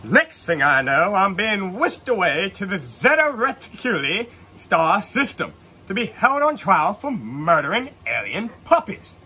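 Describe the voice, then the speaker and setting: A man's voice: high-pitched, very animated, a little slow, sharp tone, slight background noise, full character voice. A man playing an earnest oddball who tells of alien encounters in a mock-serious, theatrical comedy monologue. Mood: dramatic storytelling.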